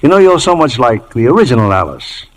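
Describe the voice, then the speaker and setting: A man's voice: mid-range voice, sing-song, a little fast, low-quality recording. A man hosting a 1950s radio show, delivering scripted announcements and interview questions.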